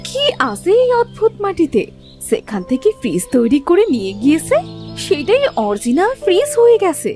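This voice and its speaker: A woman voicing an affectionate, easily amazed village mother in a children's cartoon, expressive and exclaiming, with music behind. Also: sits high, normal speed. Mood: wondering.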